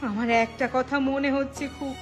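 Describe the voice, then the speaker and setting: A woman's voice: high-pitched, fairly even intonation, normal speed, faint music behind, lightly acted. A woman voicing an ailing, remorseful village shopkeeper's wife in a moral cartoon, speaking in a plaintive, pleading way. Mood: plaintive, suffering. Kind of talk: Bengali moral cartoon acting.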